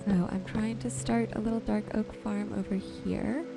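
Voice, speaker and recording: A woman giving relaxed ASMR-style gameplay commentary, gently thinking aloud without hurry. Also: medium pitch, normal speed, gravelly, over music. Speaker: gaming video host.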